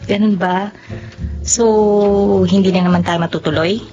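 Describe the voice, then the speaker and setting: A woman's voice: mid-range voice, steady tone, a little slow, warm tone, highs cut off, faint music behind, close to an everyday voice. A woman with a Filipino accent playing an affectionate but often let-down girlfriend in a radio drama, slipping between languages. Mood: disappointed. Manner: mixes English into Tagalog.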